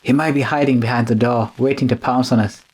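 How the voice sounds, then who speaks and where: A man's voice: mid-range voice, fairly even intonation, fairly quick, darkish tone, slightly rough, natural voice. Man playing John, a fretful manservant. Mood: anxious, hushed. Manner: fretful, whimpering, deferential. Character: nervous, complaining, deferential manservant John. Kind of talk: classic radio drama acting.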